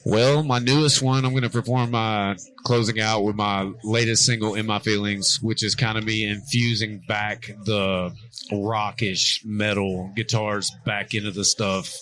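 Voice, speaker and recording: A man's voice: lowish voice, steady tone, unhurried, a bit bright. A man in his forties, a rock and hip hop artist, talking earnestly about his music in a casual interview at a concert venue. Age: 40s.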